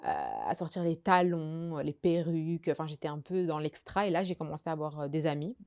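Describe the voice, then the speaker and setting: A young woman from Belgium talks through her life story as a podcast guest. Her voice sits low and mellow, and she pauses often with fillers and restarts her sentences. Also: sing-song, gravelly. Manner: hesitant, many fillers and restarts. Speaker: guest sharing her testimony. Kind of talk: casual conversational testimony.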